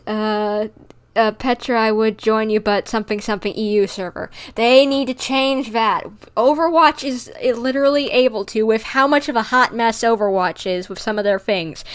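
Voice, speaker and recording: Higher-pitched woman livestreaming a game. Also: smooth. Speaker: streamer. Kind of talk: casual livestream chat while gaming.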